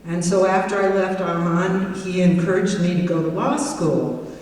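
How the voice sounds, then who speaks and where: A woman's voice: fairly low pitch, moderate pace, a little mellow. A middle-aged woman, a judge, giving a banquet tribute speech with self-deprecating humor, heard from a distant mic in a hotel ballroom. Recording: echoey hall recorded from afar.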